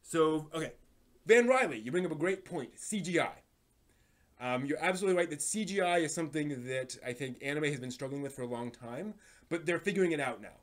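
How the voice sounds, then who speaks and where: A man's voice: on the high side, expressive, a little fast. A man hosting a casual live stream about anime, thinking aloud and rambling off on tangents as he chats with viewers.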